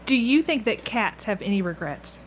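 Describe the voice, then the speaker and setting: A chatty woman hosts a casual interview in an easy conversational way, laughing readily. Her voice sits at a medium pitch, with some background noise around it. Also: big pitch swings, moderate pace. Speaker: podcast host interviewer. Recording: clearly audible background room noise.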